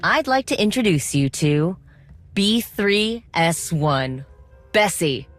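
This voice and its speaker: An actress playing a friendly, determined droid tinkerer in a video game, talking in a casual, reassuring way. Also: female voice, on the low side, animated, slow pace, faint music behind. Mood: friendly, upbeat.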